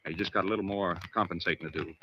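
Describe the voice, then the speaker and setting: An actor playing a steady, commanding navy diving officer in an old-time radio drama, giving terse, firm, reassuring orders. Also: male voice, low-pitched, very fast, lo-fi sound, a little hiss or hum. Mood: reassuring, instructive.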